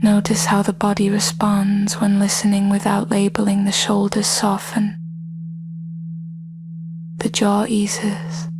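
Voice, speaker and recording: A woman with a soft, breathy, hushed voice leading a scripted guided body scan meditation in short phrases with long pauses. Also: medium pitch, monotone, background noise.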